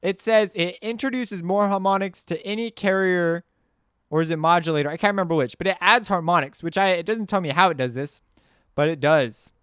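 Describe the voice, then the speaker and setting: A man hosting a casual music software tutorial, talking in an informal, rambling way and often correcting himself as he goes. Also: sits high, fairly quick, smooth, no echo, clean studio sound.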